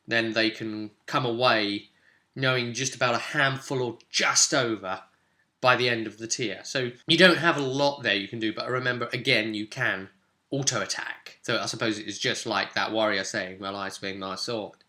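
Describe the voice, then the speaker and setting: Very bright-voiced man explaining chattily. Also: mid-range voice, normal speed. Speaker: presenter of a tabletop game guide. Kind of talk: informal explanatory commentary.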